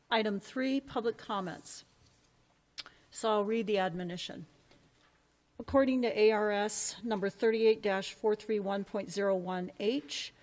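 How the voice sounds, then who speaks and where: A woman's voice: mid-range voice, darkish tone. A woman chairing a board meeting, reading procedural rules and calling speakers in a plain, formal way.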